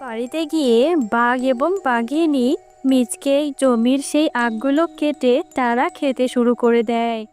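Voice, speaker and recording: A woman's voice: on the high side, steady tone, unhurried, darkish tone, soft background music. A woman reading scripted narration for an animal cartoon story.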